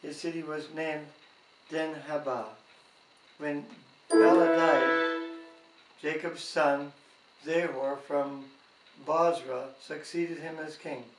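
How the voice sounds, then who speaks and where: Man, slightly high voice, slow halting scripture reading, distant mic. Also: steady tone, over music. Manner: halting, stumbling over names. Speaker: Bible reader. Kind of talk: reading scripture aloud with brief informal comments. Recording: roomy, distant home microphone.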